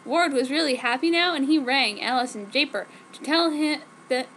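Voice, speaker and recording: A woman's voice: sits high, moderate pace, a bit bright, slight background noise. A woman giving a theatrical, comic dramatic reading of fan fiction, delivering misspelled words exactly as written. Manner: theatrical, reads misspellings literally.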